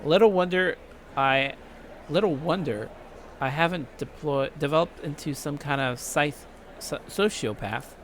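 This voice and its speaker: A man's voice: fairly high pitch, measured pace, slight background noise. A young American man hosting a let's play, reading game narration with irreverent, casual commentary. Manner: irreverent, swearing.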